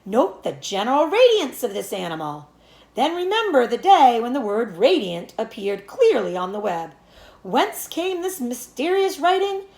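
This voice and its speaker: A woman voicing a pompous, proud fairground loudspeaker announcer for a storybook, with booming, drawn-out formal proclamations. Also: very animated, echoey room, poor audio. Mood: proud, admiring. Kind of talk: storybook read-aloud character voice.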